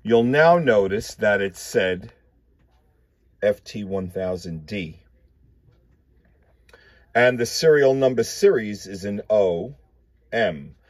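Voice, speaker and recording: A man hosting a ham radio channel, explaining in a slow, methodical, step-by-step way with a few fillers, from his home radio room. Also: fairly low pitch, animated, no echo. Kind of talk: casual show-and-tell explanation. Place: home radio shack full of equipment.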